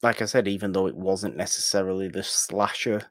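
Deep-voiced man co-hosting a movie podcast. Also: steady tone, fairly quick, a bit bright, dry recording, clean studio sound. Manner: emphatic, repeats words and phrases. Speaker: podcast co-host. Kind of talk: casual movie discussion.

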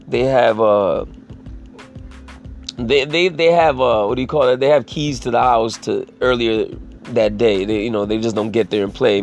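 A man with a raspy voice talking in a casual, rambling monologue, swearing freely and leaning on "you know" as he restarts his sentences. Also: on the low side. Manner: profane, filler-heavy, restarts sentences.

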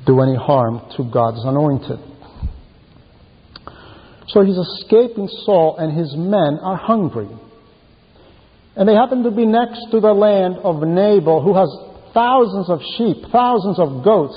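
A man delivering a sermon in short, deliberate phrases, telling scripture stories with emphasis. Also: high-pitched. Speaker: preacher reading a translated homily. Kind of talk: sermon, retelling Bible stories. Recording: muffled, long reverberant hall echo.

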